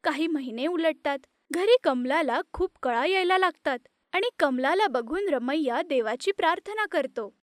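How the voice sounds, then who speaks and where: Storybook narrator: woman, high pitch, plain steady telling, dry close mic. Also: normal speed, hardly any pauses, dry recording.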